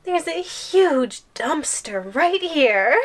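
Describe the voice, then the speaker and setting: A woman acts out a gleeful, possessive giantess in an ASMR roleplay. Her voice is high and girlish, drawn out very slowly as she toys with her prey, sweet on top and threatening underneath. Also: very animated, fairly bright tone, exaggerated voice. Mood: playful, hunting. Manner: sing-song taunting with giggles and drawn-out threats. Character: possessive giantess girlfriend, playful, menacing, gleefully taunting. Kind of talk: ASMR audio roleplay acting.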